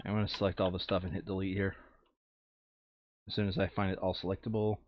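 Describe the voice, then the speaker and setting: A man's voice: low voice, flat delivery, quick pace, no echo. Casual male gamer on a dull, dry mic. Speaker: tabletop game player and narrator. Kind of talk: casual board game play commentary.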